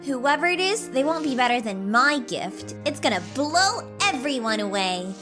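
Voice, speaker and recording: Excitable, high-pitched little filly princess. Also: female voice, normal speed. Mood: eager, confident. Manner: eager, childlike chatter. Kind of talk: animated cartoon audio drama acting. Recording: music behind the voice. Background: music.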